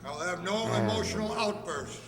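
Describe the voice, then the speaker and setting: Guy doing stern commanding judge, high voice, declaiming, radio play, super echoey. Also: animated, normal speed, gravelly, over a noisy crowd. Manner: authoritative, declamatory. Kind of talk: radio drama acting. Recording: very echoey hall recording.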